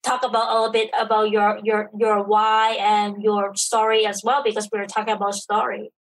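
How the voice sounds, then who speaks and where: A woman in her thirties, a podcast guest and evangelist, speaking in a halting way with many fillers and non-native grammar. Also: mid-range voice, level tone, rough recording. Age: 30s. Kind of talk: casual interview chat.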